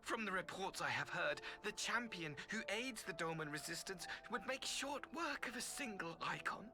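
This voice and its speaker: An actor playing a fawning, fanatically devoted servant in a video game, with florid, halting, obsequious speech. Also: male voice, high voice, expressive, music in the background. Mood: fawning devotion. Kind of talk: video game cutscene acting.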